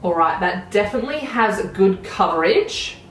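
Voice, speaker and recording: A woman's voice: medium pitch, expressive, moderate pace, roomy sound, faint music behind. A woman in her thirties with an Australian accent, chatting enthusiastically through a makeup tutorial at home. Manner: chatty, enthusiastic product commentary while applying makeup. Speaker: beauty vlogger. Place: small room at home, indoors.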